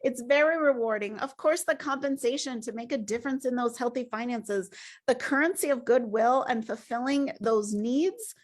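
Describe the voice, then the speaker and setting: A woman's voice: on the high side, moderate pace. A woman hosting a webinar, upbeat and encouraging as she presents. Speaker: host.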